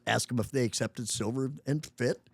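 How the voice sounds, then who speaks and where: A man's voice: medium pitch, moderate pace, creaky, no echo. A man in his eighties, a guest in a casual interview, talking with many restarts and chuckling often. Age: 80s.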